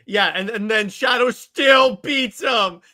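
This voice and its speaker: A man's voice: extremely high pitch, slow pace, pushed and bright, raised voice. A man co-hosting a podcast, casually talking through a movie in a conversational way.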